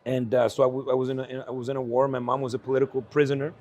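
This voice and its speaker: A man in his forties from El Salvador, a guest speaking earnestly at an investment conference about his life, with frequent fillers and quick laughs. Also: medium pitch, flat delivery, a little mellow. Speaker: guest entrepreneur. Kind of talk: candid conversational storytelling.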